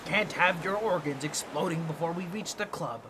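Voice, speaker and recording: A man voicing an excitable, boastful talking octopus in a comedic audio roleplay, with a nasal, squawky voice and a fussy, theatrical, pompous way of talking to the listener. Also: a little high, moderate pace, noisy background, natural voice. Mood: pondering, pompous.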